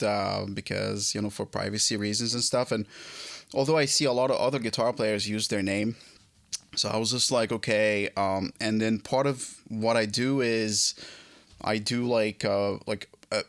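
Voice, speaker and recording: Guest: man from Egypt, late 30s, lowish voice, dry close sound, stop-start delivery. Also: a bit bright, no room sound. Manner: halting, many fillers and restarts. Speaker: guest guitarist. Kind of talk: casual interview.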